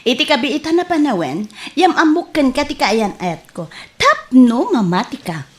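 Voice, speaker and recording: Young sweetheart, high-pitched, very expressive melody, coy and blunt, Ilocano accent, radio comedy drama. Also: female voice, normal speed. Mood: blunt, dismissive. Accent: Ilocano Filipino.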